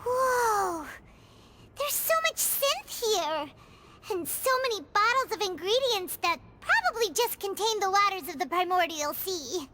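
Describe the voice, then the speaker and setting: A woman voicing a tiny, excitable, self-important fairy companion in a video game, with a squeaky childlike voice and theatrical, exclamatory delivery. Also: unhurried, very noisy. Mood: amazed. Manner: exclamatory, theatrical, refers to self in third person. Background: music.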